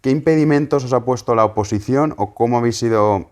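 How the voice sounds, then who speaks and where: A man's voice: mid-range voice, fast, darkish tone. A man with a Spanish accent from Spain hosting a political interview, putting questions to his guest. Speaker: interviewer and host.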